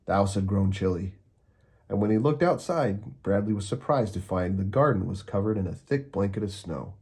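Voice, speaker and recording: A man narrating a children's storybook aloud, expressively and with deliberate, dramatic emphasis. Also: fairly low pitch, expressive, a little mellow.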